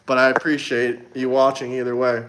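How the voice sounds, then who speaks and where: A man's voice: mid-range voice, little pitch movement, a little fast, background noise. A young man from Florida hosting a casual livestream, chatting loosely and answering viewer comments, often repeating himself. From Florida, United States. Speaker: livestream host. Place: indoors in Montenegro.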